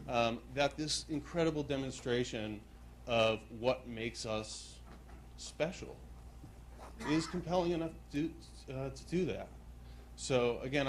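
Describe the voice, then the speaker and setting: A man's voice: mid-range voice, a little hiss or hum. Earnest young man at public comment. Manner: earnest, heartfelt. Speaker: resident speaking at public comment.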